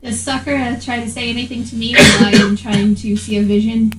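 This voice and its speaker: A woman's voice: fairly high pitch, fairly even intonation, brisk. A woman doing casual in-character role-play as a player in a tabletop game. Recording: echoey, distant mic with faint noise.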